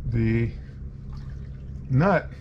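Background noise sits under the voice of a man in his sixties with a mid-pitched delivery. He hosts an informal sailing vlog and explains things plainly, one step at a time. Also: animated, very slow, lo-fi sound. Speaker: sailing vlogger and host. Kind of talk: informal narrated travel tour and how-to.

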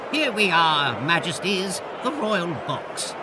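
A man acting a comic audio-drama lord, high-voiced and slow-talking. He is a grovelling toady who turns brash and snooty, with noisy outdoor sound behind him. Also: sing-song, heavily acted. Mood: fawning. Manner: obsequious, switching to brash and bossy. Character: fawning, grovelling male lord, rude to commoners.

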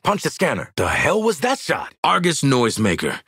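An actor playing a tough, cocky, wisecracking marksman mercenary in a video game, with a gravelly American voice and a brash, slangy delivery. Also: medium pitch, wildly swooping pitch, normal speed, fairly bright tone, no echo, studio quality, full character voice. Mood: cocky, dry. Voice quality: gravelly, raspy. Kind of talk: video game combat voice lines.

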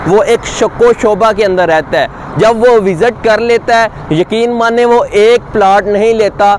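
ऊँची आवाज़ वाला आदमी, बाहर से प्रॉपर्टी प्रचार करता हुआ. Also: male voice, fairly even intonation, unhurried, darkish tone, low-quality recording. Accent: Pakistani Urdu. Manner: upbeat sales pitch, speaks straight to viewers. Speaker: real estate agent. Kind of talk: on-site property promo vlog. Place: outdoors at a construction site by a busy road. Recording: faint outdoor traffic noise.

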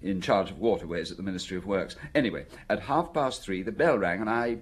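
A man's voice: deep voice, brisk, slight background noise, lightly acted. A man playing an evasive, self-pitying middle-aged antique dealer in a radio drama, hesitant and stammering as he wheedles. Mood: anxious, pleading. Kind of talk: British radio drama acting.

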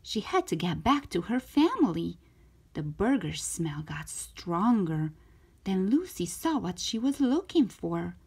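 A woman narrating a children's story aloud in an expressive, careful storytelling manner, as a storytime host. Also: medium pitch, unhurried, husky. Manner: animated, careful storytelling delivery.

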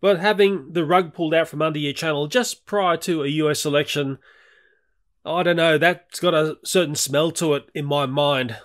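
A man's voice: fairly high pitch, steady tone, normal speed, fairly bright tone. A middle-aged Australian man narrating a nature video in a chatty, candid voice-over.

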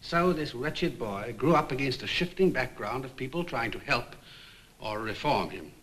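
An actor playing an articulate British psychiatric nurse, gravely narrating a case history in a 1950s film. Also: male voice, lively intonation, a bit bright. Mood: grave, explanatory. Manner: measured, grave, emphatic. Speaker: hospital staff member recounting a case history. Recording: faintly rumbling 1950s film soundtrack.

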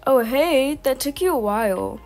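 A woman's voice: high voice, lively intonation, moderate pace, a little mellow, faint music behind. Young woman voicing a popular teen girl. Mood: sweet.

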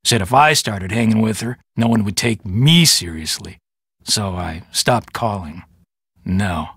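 Cocky, animated American detective. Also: male voice, on the low side, unhurried, breathy edge, no echo, clean studio sound, exaggerated voice. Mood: sullen, defensive. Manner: cocky, self-important, dismissive. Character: vain, boastful, defensive male police detective. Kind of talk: video game character acting.

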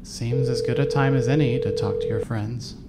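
A man's voice: medium pitch, steady tone, moderate pace, heavy background noise. A man reading scripted second-person story narration for a fan audio drama. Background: clear music.

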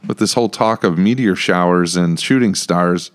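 A man with a low American voice chats as a podcast host. He thinks out loud, wandering through his points in a casual discussion, close to a studio microphone. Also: normal speed, smooth. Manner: rambling, thinking aloud. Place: small podcast room with acoustic panels. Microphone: close studio mic on stand.

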